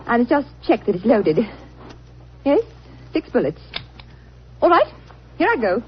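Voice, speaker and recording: An old, muffled radio recording with light hiss carries a bold young lady. Her voice is fairly high and swoops dramatically, brisk and self-assured in 1940s radio style. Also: very animated. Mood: breezy, challenging. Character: bold, cool, scheming young lady, pistol-shooting niece.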